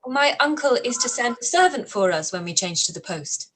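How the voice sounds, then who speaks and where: An actress playing a sharp-witted, spirited young woman with a sarcastic edge. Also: fairly high pitch, sing-song, moderate pace. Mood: polite. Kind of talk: live-read radio play.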